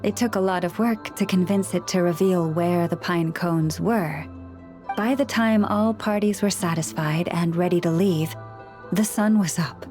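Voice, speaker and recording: A woman's voice: lowish voice, soft background music, natural voice. Serene Moon Chanter, voiced by a woman. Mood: amused. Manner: calm, measured, earnest. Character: gentle, serene young woman Moon Chanter, caring listener. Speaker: spiritual counselor to people and animals. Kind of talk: video game voice acting.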